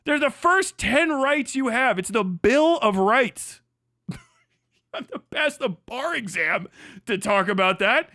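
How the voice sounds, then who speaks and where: A man's voice: very high voice, animated, takes it slowly, bright, forceful tone, no room sound, clean studio sound. A man hosting a live stream, ranting heatedly and profanely with frequent laughs. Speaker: streamer host.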